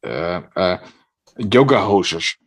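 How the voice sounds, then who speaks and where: A man talks in an easy, unscripted way on a live stream. His voice sits on the low side. He hesitates a lot and restarts his sentences as he hunts for English words. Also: expressive, slow. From Netherlands. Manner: hesitant, many fillers and restarts. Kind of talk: casual chat.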